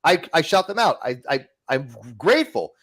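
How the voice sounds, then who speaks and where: A man's voice: a little high, very animated, normal speed, a bit bright. A man hosting a casual livestream, rambling and blunt, swearing freely as he talks. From California. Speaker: streamer host.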